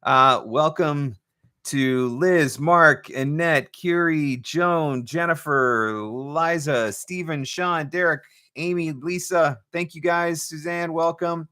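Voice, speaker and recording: A man's voice: fairly high pitch, slow pace, pushed and bright, smooth. A man hosting a casual, off-the-cuff livestream as a coach, chatting informally with a playful, teasing streak. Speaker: livestream host and voiceover marketing coach. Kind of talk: casual live chat, off the cuff.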